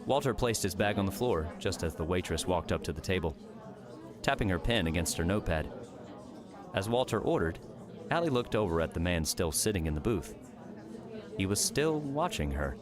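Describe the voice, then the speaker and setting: A man narrating a scripted audiobook story in a measured, dramatic storytelling manner. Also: sits low, expressive, music in the background.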